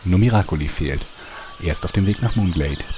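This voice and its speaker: A man's voice: deep voice, brisk, noisy background. A man narrating a parody audio drama in a mock-epic announcer tone.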